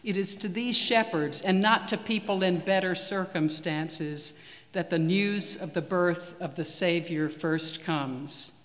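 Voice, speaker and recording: A man preaching a sermon in a church, measured and earnest with deliberate pauses. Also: high-pitched, fairly bright tone. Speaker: priest. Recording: long reverb of a church hall.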